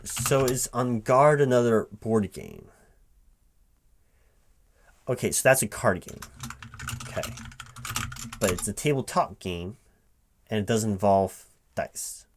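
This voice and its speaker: A man playing a baffled, incredulous recruiting manager in a spoken ASMR job interview roleplay, halting and repeating himself in disbelief. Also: lowish voice, animated, a little hiss or hum. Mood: puzzled, polite. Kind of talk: one-sided ASMR roleplay, softly paced but spoken aloud, improvised.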